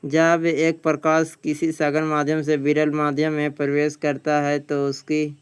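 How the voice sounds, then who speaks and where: A man's voice: on the high side, completely flat delivery, measured pace, clear, resonant tone. A man reading out practice questions and answers as a teacher, plain-spoken and repeating phrases. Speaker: teacher, exam coaching.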